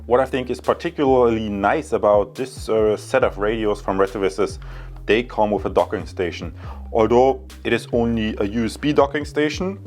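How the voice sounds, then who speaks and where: A man from Germany with a German accent, presenting a casual product review in an informal, chatty way. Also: lowish voice. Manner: informal, chatty, addresses viewers as guys. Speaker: product reviewer and channel host.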